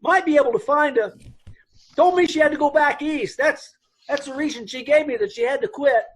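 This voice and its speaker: A very high-voiced dance hall boss, acted by a man in a radio police drama. He is brusque and chatty, with blunt, ungrammatical, folksy talk. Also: fairly quick.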